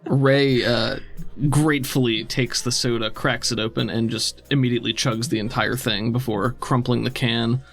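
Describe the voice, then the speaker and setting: A man's voice: mid-range voice, steady tone, moderate pace, fairly bright tone, soft background music. A man acting as game master, improvising cinematic scene narration for a tabletop role-playing game.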